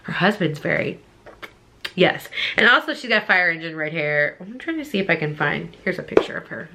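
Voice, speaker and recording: A woman's voice: lowish voice, expressive, moderate pace, background noise. A woman talking casually to viewers as a book reviewer, in an informal, rambling way with emphatic repetition. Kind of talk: casual chatty opinion monologue to viewers.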